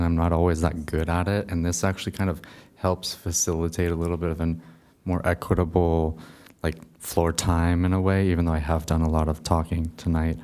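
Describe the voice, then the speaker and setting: A man's voice: deep voice, darkish tone. A man on a committee speaking reflectively and with self-awareness at a table microphone. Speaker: committee member. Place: city meeting room.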